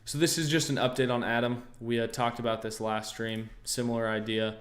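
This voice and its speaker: A young American man hosting a casual livestream, easygoing and thinking aloud, often pausing on fillers and restarting his sentences. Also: mid-range voice, level tone. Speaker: trading livestream host. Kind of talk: casual livestream chat answering viewers.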